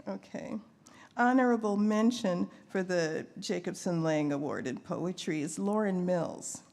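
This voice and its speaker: A woman's voice: lowish voice, measured pace, darkish tone. A woman presenting awards at a live ceremony in an informal, chatty way, with asides to herself as she goes. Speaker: poet. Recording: faint background noise, live event microphone.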